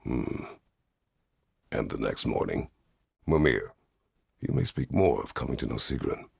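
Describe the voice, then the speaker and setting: An actor playing a stern, weary, middle-aged Greek war god in a video game, speaking tersely and formally with a gravelly, husky voice. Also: male voice, rumbling low, animated, measured pace, darkish tone, dry recording, studio quality, exaggerated voice. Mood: stern, brooding. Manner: terse, grave, formal phrasing without contractions. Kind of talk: dramatic video game voice acting.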